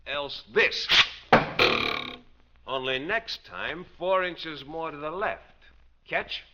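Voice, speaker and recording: An actor playing a mocking, menacing archer in a 1940s radio drama, sarcastic and threatening. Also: male voice, fairly high pitch, takes it slowly. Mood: sneering. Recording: hissy lo-fi old radio recording.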